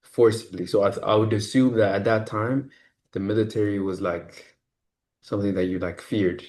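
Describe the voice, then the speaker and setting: Low-voiced man hosting a podcast. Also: steady tone, normal speed, a little mellow. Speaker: podcast host. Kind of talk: podcast hosting, scripted introduction and questions.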